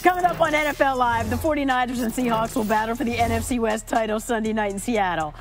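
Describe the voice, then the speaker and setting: A woman's voice: fairly high pitch, fast talker, soft background music. A woman hosting a sports show, with a polished presenter delivery as she asks questions and reads the tease. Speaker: TV show host.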